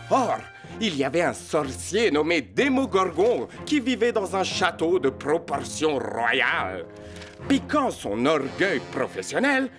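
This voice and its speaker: Cat narrator for animated film: lively pitch, slow pacing, sly, theatrical, light music behind. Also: male voice, heavily acted. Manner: playful, knowing, theatrical. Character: sly, boastful young male cat storyteller. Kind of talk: scripted cartoon narration.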